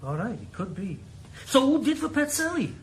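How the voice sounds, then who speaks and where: A man's voice: very high voice, very animated, normal speed, slight background noise, heavily acted. An actor playing a blunt, teasing policeman in a 1980s radio drama. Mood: probing. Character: blunt, crude-minded Welsh police colleague.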